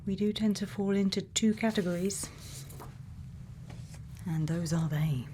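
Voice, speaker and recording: A woman doing an ASMR roleplay as a gentle scientist, speaking in a breathy whisper and soft murmur, slow and deliberate with long pauses. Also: medium pitch, slight background noise. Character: gentle scientist questioning a robot friend. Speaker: ASMR performer.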